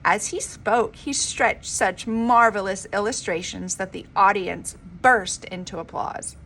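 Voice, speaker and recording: A woman reading a children's storybook aloud like a teacher, with an expressive, emphatic delivery. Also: medium pitch, measured pace. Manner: dramatic, emphatic delivery with informal asides. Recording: clearly noisy homemade recording.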